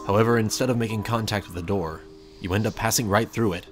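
A man's voice: lowish voice, quick pace, soft background music. A man reading a scripted second-person story aloud as its narrator.

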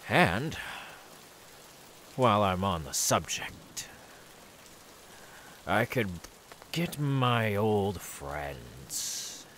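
A man playing an unhinged, menacing, mocking serial killer in a theatrical ASMR horror audio roleplay, volatile between hushed and manic. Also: fairly low pitch, sing-song, drawn-out words, pauses often, a little hiss or hum, full character voice. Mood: hushed, satisfied.

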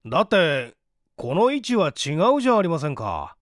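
A man's voice: fairly high pitch, big pitch swings, moderate pace, fairly bright tone, no echo, clean studio sound. A man voicing an indignant, haughty young man in a storybook reading, stiffly polite and challenging.

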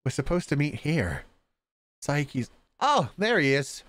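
A man streaming a game, reading its dialogue aloud with jokey ad-libs in a playful, animated manner. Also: a little high, unhurried. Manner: animated, stammering for effect, jokey. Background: visual novel game music.

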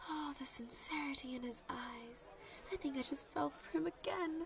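Woman acting a high-voiced lovestruck student girl. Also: moderate pace, creaky, crowd noise. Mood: dreamy, smitten. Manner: gushing, dramatic, babbly, sighing. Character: lovestruck, chatty young female student gushing about a crush. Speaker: voice actor. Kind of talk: audio roleplay, one-sided conversation with friends.

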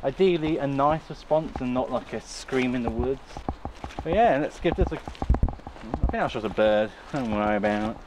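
A man's voice: mid-range voice, animated, moderate pace. A man chatting casually as a vlog host in a hesitant, self-interrupting way, recorded on a handheld camera outdoors. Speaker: YouTuber host. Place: outdoors in muddy woods. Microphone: handheld camera while walking. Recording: noisy outdoor camera audio.

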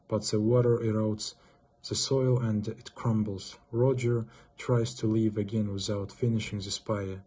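A man's voice: fairly low pitch, a little mellow, slightly rough. A young man giving a scripted book summary as a student presenter. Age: young adult.

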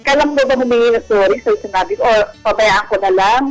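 A woman's voice: a little high, fast. A Filipino woman calling in to a radio show, recounting a personal matter in casual conversation. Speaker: caller. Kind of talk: personal confession to radio hosts. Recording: radio call with music underneath. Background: background music.

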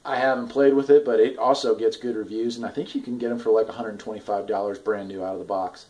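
A man in his forties talking casually and haltingly in an off-the-cuff video review, recorded in a garage on a camera at arm's length. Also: lowish voice, fairly even intonation, dark tone, muffled recording. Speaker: product reviewer, farm owner. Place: garage, indoors.

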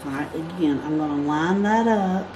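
A woman with a fairly low voice talks through a drawing lesson, explaining each step in a chatty way as she works. She is recorded indoors at a work table by a camera above it. Faint background noise sits under the voice. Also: moderate pace. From United States. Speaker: craft instructor. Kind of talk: drawing tutorial.

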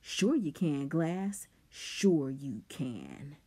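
A woman voicing a furious teenage boy who bullies with a bat, shouting threats. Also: lowish voice, animated, takes it slowly, slightly rough, heavily acted. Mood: enraged.

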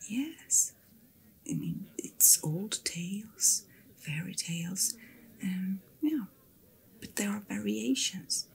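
Woman doing a soft whispery innkeeper lady, asmr roleplay, real slow and attentive, calming. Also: mid-range voice, creaky. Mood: gentle, soothing. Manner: soothing, unhurried, attentive. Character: kind, attentive female innkeeper in a fantasy tavern.

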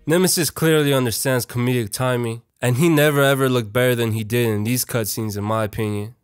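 A young man narrating a casual, opinionated video essay in a slangy, profane and irreverent manner. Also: medium pitch. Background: music.

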